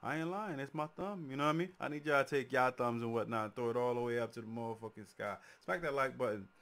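A man's voice: medium pitch, fast talker, fairly bright tone. A man in his forties from Haiti, talking casually into a studio mic at home as a music reaction host, hyped and swearing freely, with a rap track playing behind him. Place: small home studio indoors. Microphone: large studio mic on boom arm, wearing headphones.

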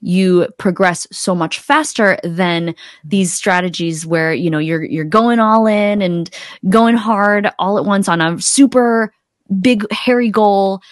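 A woman's voice: mid-range voice, slow, no echo. A woman speaking as a podcast guest and coach, earnest and reflective in a casual conversation, close to a desktop mic. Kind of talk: casual interview chat. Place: indoor home room on a video call.

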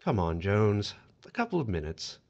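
A man's voice: on the low side, big pitch swings, moderate pace, heavy background noise. Dull recording, male afterlife agent acted by a man, swooping intonation, persuasive, formal, reassuring, audio drama. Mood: conciliatory. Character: calm, persuasive afterlife agent collecting the dead.

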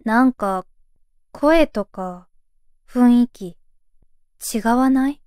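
A woman's voice: fairly high pitch, very slow, darkish tone, smooth, no echo. A woman playing a grumbling young shrine maiden in an anime-style fan voice drama, with dry, exasperated retorts. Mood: puzzled, annoyed.

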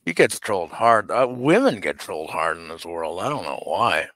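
A man's voice: low voice, sing-song, normal speed, fairly bright tone, dry recording. A man in casual podcast chat, swearing freely. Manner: profane.

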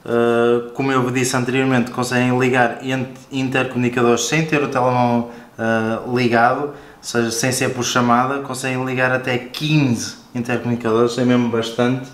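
A man's voice: mid-range voice, flat delivery, normal speed. European Portuguese man reviewing, roomy echo. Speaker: product reviewer. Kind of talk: casual product review and installation walkthrough. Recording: roomy echo with music behind. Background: background music.

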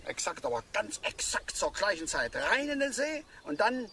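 A man's voice: high-pitched, very animated, normal speed, bright, forceful tone, slight background noise, heavily acted. An actor playing a cantankerous, opinionated middle-aged father and angler in a radio play, lecturing and easily worked up. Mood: agitated, ranting. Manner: blustering, lecturing, repeats words in agitation. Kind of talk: naturalistic radio play acting.

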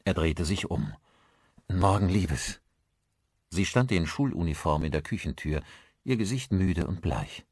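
A man narrating a thriller audiobook as scripted storytelling, with expressive phrasing. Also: low-pitched, moderate pace, husky, no room sound, studio quality.

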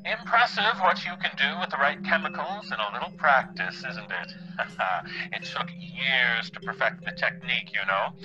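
A man's voice: mid-range voice, animated, moderate pace, lo-fi sound, background noise. A middle-aged man playing a sinister, murderous father in a horror audio drama, theatrical and mock-folksy, speaking through a radio-like filter. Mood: gloating. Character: gloating, murderous dead father on a tape recording. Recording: band-limited tape-voice sound over music.

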